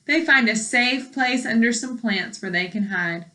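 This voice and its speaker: A woman teacher reading a book aloud to young children, deliberately, with explanations and questions for her listeners. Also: a little high, pushed and bright. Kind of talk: read-aloud lesson with commentary and questions.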